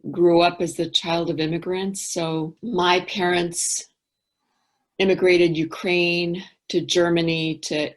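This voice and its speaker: Middle-aged woman, interview guest; candid, reflective, a touch low in pitch. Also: flat delivery, slow. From England. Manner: thoughtful, candid, trails off into quiet asides.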